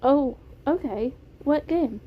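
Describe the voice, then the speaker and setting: Female reader as cheerfully compliant young girl in narrated fiction; high voice, phone-like audio. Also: slow. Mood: agreeable, bright. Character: young girl, agreeable and easygoing. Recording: tinny phone-like audio, clear noise.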